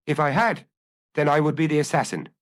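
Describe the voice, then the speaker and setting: A man's voice: fairly high pitch, fairly quick, voice pushed up, lightly acted. A man voicing a fearful murder suspect in an audiobook detective story, nervous and defensive while feigning indifference. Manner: nervous, defensive, struggling to sound unconcerned. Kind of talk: audiobook character acting.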